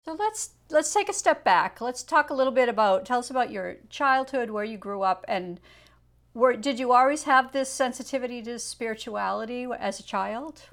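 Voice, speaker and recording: A woman's voice: a little high, expressive, moderate pace, a bit bright. A woman interviewing a guest, asking friendly, inviting questions.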